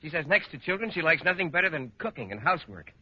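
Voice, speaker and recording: Mild naive gentleman, earnest, polite, quick husky speech, 1940s radio comedy, dull old recording. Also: fairly high pitch, sing-song, no echo.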